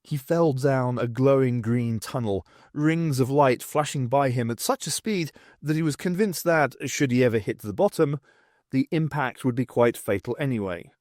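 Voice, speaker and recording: A man's voice: moderate pace, dry recording, studio quality. A man reading a novel aloud as an audiobook, telling the story expressively. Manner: expressive storytelling with lively shifts in pitch. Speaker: audiobook reader. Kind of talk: scripted narration of a novel.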